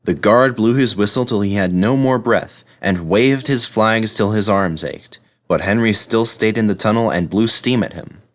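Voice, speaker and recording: A man's voice: on the low side, normal speed, few pauses. A man narrating a children's story as a storybook reader.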